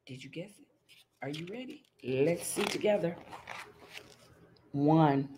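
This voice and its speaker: A woman's voice: deep voice, slow pace, dark tone, slight background noise. A middle-aged woman reading a picture book aloud to young children, teacherly and interactive, checking in with her listeners. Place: indoors in front of a green screen.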